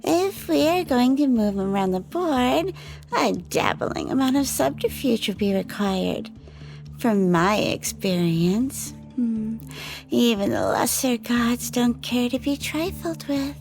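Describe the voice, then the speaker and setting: An actress playing a composed, cunning woman who speaks in a measured, formal way in an audio roleplay. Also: on the high side, big pitch swings, creaky, a little mellow, faint music behind, heavily acted. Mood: calm, scheming. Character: composed, cunning woman, devoted partner and schemer. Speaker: lead character in an audio drama. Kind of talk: ASMR audio roleplay acting.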